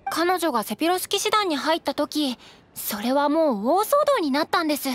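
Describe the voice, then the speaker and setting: An actress playing a polite, earnest young waitress in a Japanese game, kindly and measured in her speech. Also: sits high, big pitch swings, a little hiss or hum. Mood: serious, explanatory. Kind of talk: Japanese anime game voice acting.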